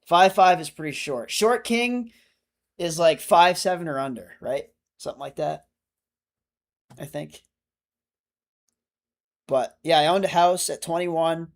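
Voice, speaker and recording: A man's voice: on the high side, a little slow, long pauses. A man of twenty-five chatting casually on a livestream, answering viewer comments in a rambling, self-correcting way. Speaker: streamer.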